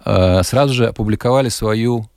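A man from Germany, a producer guest on a talk show, speaking in a measured, formal way with frequent hesitations. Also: lowish voice, a little mellow, smooth, no echo, studio quality. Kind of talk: radio talk show discussion.

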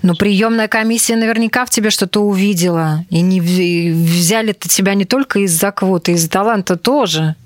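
A woman's voice: mid-range voice, fairly quick, few pauses, no room sound, clean studio sound. A woman hosting a conversational interview, speaking in reflective, thoughtful phrases. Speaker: host.

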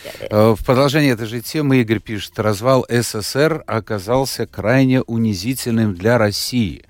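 A man's voice: mid-range voice, normal speed. A man hosting a studio talk show. He chats with his guest and reads viewer questions aloud. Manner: conversational, reads listener messages aloud.

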